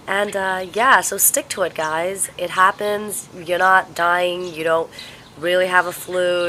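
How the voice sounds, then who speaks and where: A young woman vlogging casually at home, stuffed up and congested, talking in a slow, halting, tired way. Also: fairly low pitch, bright, forceful tone, slight background noise. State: congested, sniffly with a cold. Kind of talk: casual vlog chat. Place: home, indoors.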